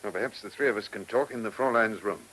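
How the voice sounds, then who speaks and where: An actor playing a shrewd, persistent chief inspector in a radio play, with an English accent and a dry, probing manner. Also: male voice, lowish voice, fast talker, a bit bright, natural voice. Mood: confident, confronting. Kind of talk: radio drama acting.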